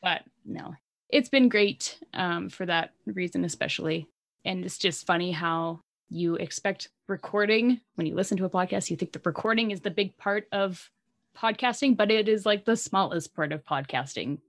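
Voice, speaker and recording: A woman's voice: lowish voice, normal speed. A woman with a Canadian accent co-hosting a podcast, chatting casually and laughing often. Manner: giggly, chatty, lots of "like". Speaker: podcast co-host. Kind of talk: casual chat.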